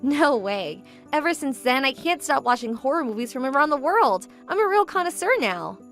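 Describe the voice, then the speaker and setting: Lady doing a forward young businesswoman in a manga dub, highish voice, polite but animated, music behind. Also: normal speed, heavily acted. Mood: playful, cheerful. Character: composed, forward young businesswoman, the hero's ex-girlfriend. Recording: background music under the voice.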